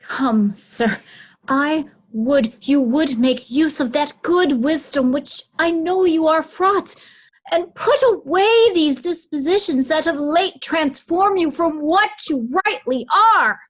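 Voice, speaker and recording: Woman playing snooty royal daughter in shakespeare, really high pitched, slow and reproving. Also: poor audio. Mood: stern, reproving. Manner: formal, reproving. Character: haughty royal daughter scolding her aged father. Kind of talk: Shakespearean stage reading.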